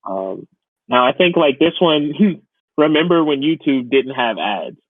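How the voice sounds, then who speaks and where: A man's voice: mid-range voice, sing-song, a little slow, no echo. Young man, dry and slightly dull sound. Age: young adult. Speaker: podcast co-host. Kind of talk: casual nostalgic chat.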